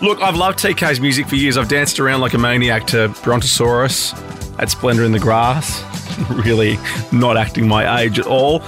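A man's voice: medium pitch, normal speed, over music. A man hosting a podcast, chatty and self-joking, interviewing a guest over a video call. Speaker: podcast host. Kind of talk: casual interview.

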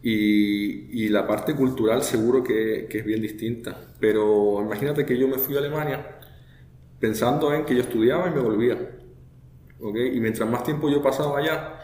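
A man's voice: mid-range voice, moderate pace, a little mellow, reverberant. A man in his thirties from Chile, with a Chilean Spanish accent, talking as a guest in an interview, with many hesitations and repeated words. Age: 30s. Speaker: guest brewmaster. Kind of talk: relaxed conversational interview.

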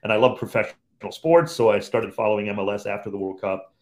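A man in his fifties, originally from Cleveland, talks about his years in radio. His low, unhurried voice carries easy, loosely phrased recollections, the way a seasoned play-by-play commentator chats as a guest. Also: steady tone. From Cleveland, Ohio, USA. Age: 50s. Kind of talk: relaxed storytelling in conversation.

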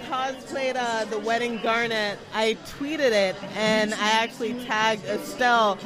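A woman's voice: a little high, measured pace. An American woman chatting casually and emphatically as an interview guest, often doubling back on her phrases. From Florida, US. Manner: effusive, emphatic, repeats herself. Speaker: cosplayer being interviewed. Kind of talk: casual excited interview chat. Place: busy fan convention. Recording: music and crowd noise behind. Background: music, crowd.

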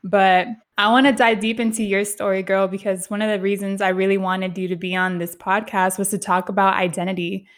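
Steady-toned woman podcast host. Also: mid-range voice, fairly quick, hardly any pauses. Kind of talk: casual conversational interview.

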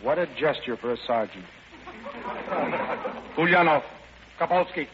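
A man's voice: on the high side, lively intonation, measured pace, crowd noise. A man playing a charming, flippant playboy count in an old-time radio drama, on a muffled recording. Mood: playful.

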